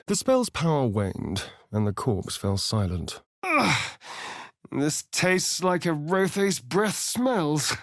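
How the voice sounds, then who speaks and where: An actor playing a sardonic, vain vampire spawn rogue in a video game, with a theatrical, drawling British delivery. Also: male voice, mid-range voice, dry recording, studio quality, heavily acted. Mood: exasperated, alarmed. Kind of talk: video game character voice lines.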